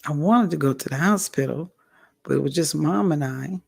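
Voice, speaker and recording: A woman vlogger with a hoarse, raspy voice, giving a candid personal update in a slow, halting way and often clearing her throat. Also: deep voice, a little mellow. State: hoarse, recovering from a stroke. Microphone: audio only, home recording.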